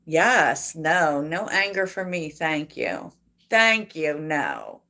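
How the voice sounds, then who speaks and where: A woman hosting a tarot livestream, chatting softly and reflectively with viewers and laughing often. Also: low voice, very animated, takes it slowly, vocal fry. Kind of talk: casual livestream chat answering viewers.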